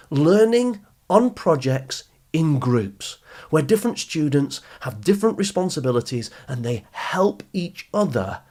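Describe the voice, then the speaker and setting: Husky-voiced man giving motivational advice. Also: mid-range voice. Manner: emphatic, deliberate teaching delivery with stressed key phrases. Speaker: podcast host and youth coach. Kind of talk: motivational advice talk to teenagers.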